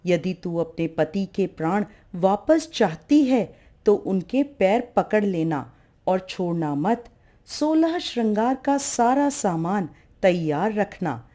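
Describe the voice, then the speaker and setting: A woman's voice: mid-range voice, very animated, slow pace, mellow. A woman voicing an imperious mother goddess in a folk tale, with a chanting, commanding manner. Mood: stern, teasing.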